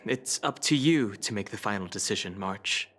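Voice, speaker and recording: A man voicing a level-headed, analytical traveling companion in a game, speaking in a measured, matter-of-fact way. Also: on the low side, lively intonation, a bit bright. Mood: patient. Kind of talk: game cutscene voice acting.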